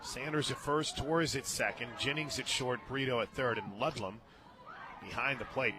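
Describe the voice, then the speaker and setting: An American man doing live radio play-by-play of softball, conversational and clipped, lifting into emphatic calls. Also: medium pitch, moderate pace, noisy background. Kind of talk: live softball radio commentary with casual banter. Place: stadium radio booth.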